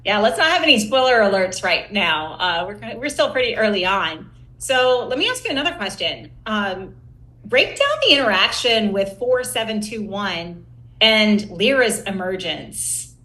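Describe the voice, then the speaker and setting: A woman's voice: medium pitch, big pitch swings, moderate pace, sharp tone. A woman co-hosting a podcast, asking questions in a conversational interview style. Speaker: podcast co-host. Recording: roomy, echoey sound.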